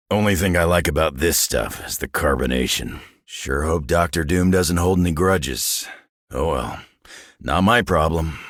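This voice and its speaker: A man voicing a gruff, surly middle-aged superhero in a video game, raspy and sardonic with clipped folksy tough talk in a North American accent. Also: very deep voice, fairly bright tone, dry recording, studio quality, exaggerated voice. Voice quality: gravelly, raspy. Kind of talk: video game character voice lines.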